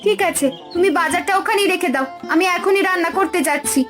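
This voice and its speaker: A woman's voice: very high voice, fast, loud music behind the voice. A woman voicing a village wife and mother in a cartoon story, fretful and scolding. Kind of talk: cartoon voice acting.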